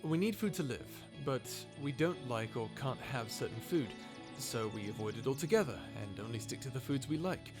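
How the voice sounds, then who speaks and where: A man's voice: mid-range voice, lively intonation, moderate pace, hardly any pauses, music in the background, close to an everyday voice. A man playing a sarcastic, easily exasperated human scientist in an informal, conversational audio roleplay drama. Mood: casual, curious. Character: wry, grumbling human scientist, reluctant companion of a robot.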